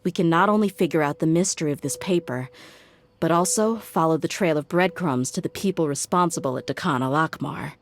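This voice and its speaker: Brief: a tough young mercenary woman, low and steady, blunt, loyal. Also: a little fast, soft background music. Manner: steady, reasoning aloud, blunt. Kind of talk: game dialogue.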